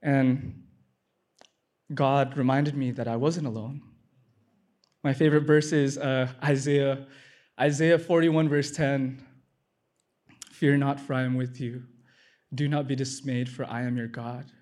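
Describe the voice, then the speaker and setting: A man's voice: medium pitch, steady tone, measured pace, long pauses, a little mellow. A man giving a personal testimony of faith, earnest and repeating phrases for emphasis. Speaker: testimony speaker.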